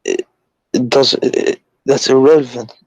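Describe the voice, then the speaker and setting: A man calling into a live debate, answering hesitantly and haltingly. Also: mid-range voice, steady tone, creaky, darkish tone, husky, no room sound. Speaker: caller.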